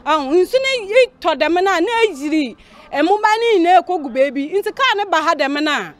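A woman's voice: extremely high pitch, expressive, moderate pace. A young woman with a Ghanaian accent answering in a street interview on a handheld mic. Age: young adult. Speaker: seamstress interviewee.

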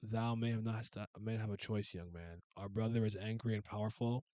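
A wise old man talks in measured, formal old-fashioned phrases, as a man reads him aloud. The recording is close and dry. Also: on the low side, fairly even intonation, dark tone, no echo, lightly acted. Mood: grave. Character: old male mentor, calm and wise.

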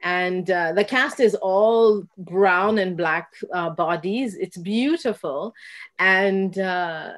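A middle-aged woman from Kenya, a dancer talking as a guest on a video call from a home room, reflective and animated, acting out the exchanges she recounts. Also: fairly low pitch, slow pace. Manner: reflective, animated storyteller who acts out quoted exchanges. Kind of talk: casual conversation on a video call. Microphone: video call, laptop mic.

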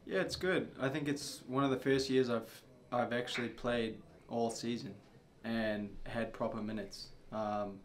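A young man, a soccer player, answering questions at a post-match press conference in a subdued, halting way with many fillers. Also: mid-range voice, steady tone, a little hiss or hum. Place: press room before a sponsor backdrop.

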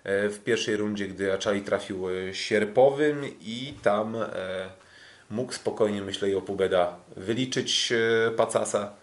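A Polish man co-hosting a sports podcast, recapping fights casually in a hesitant way with drawn-out fillers and restarts. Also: lowish voice. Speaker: podcast co-host, kickboxing commentator.

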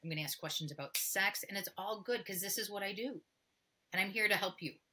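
A woman's voice: mid-range voice, a little fast, bright, forceful tone, breathy edge. A middle-aged woman talking candidly as a guest expert in a podcast interview over a video call, often acting out bits of dialogue. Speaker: guest expert, pelvic floor physical therapist. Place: indoor room, video call.

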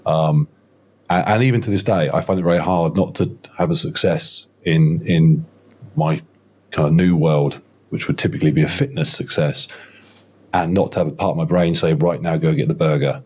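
A man's voice: very deep voice, normal speed, a little mellow, breathy edge. A man of about fifty, a podcast guest telling his story in a rambling, self-deprecating way, with many restarts. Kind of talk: casual podcast interview. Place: home studio room, indoors. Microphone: close studio mic with headphones, video call.

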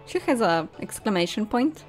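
A woman's voice: medium pitch, very animated, normal speed. A young woman playing a game and commenting casually, thinking aloud as she goes. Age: young adult. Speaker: gamer, host. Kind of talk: casual let's play commentary. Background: soft game music.

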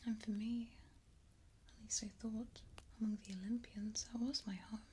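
A young woman playing a gentle, wistful, kindly goddess of the hearth in a hushed, unhurried ASMR roleplay. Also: fairly high pitch, level tone, a little hiss or hum, lightly acted. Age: young adult. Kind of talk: soft-spoken ASMR roleplay.

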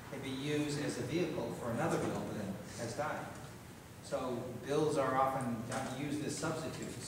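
A man legislator explaining at a town hall meeting in a matter-of-fact way. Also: medium pitch. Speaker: state legislator. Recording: echoey hall, distant mic, noisy.

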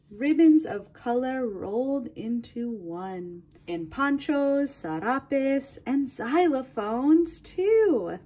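A woman's voice: sits high, muffled recording. A woman reading a picture book aloud to children in a sing-song manner, addressing her young listeners directly.

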